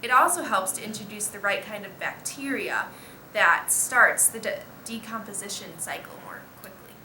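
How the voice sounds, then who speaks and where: A female student giving halting, scripted explanations as an expert guest in a mock interview. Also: medium pitch, animated, sharp tone. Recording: echoey room with faint noise.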